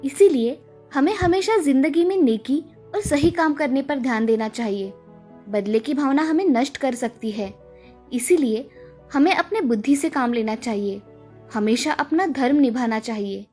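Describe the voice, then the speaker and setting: High-voiced woman delivering a moral. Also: expressive, moderate pace, a little mellow, soft background music. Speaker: narrator giving the moral. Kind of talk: scripted moral narration.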